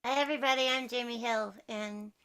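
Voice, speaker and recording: A woman's voice: fairly high pitch, steady tone, a little fast, sharp tone, clear, resonant tone. A woman voice actor with a childlike, cartoonish natural voice, casually introducing herself to a group.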